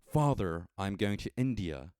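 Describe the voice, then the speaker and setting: A man's voice: on the low side, animated, normal speed, a little mellow, clear, resonant tone, no room sound, studio quality, lightly acted. A man voicing earnest children bidding farewell in a storybook, speaking in plain declarations.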